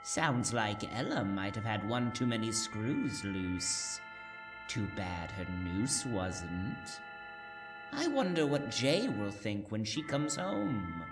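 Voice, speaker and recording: Male horror host, scripted wry narration, lively intonation, macabre humor, music underneath. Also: lowish voice, slow pace. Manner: wry, punning. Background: music with guitar.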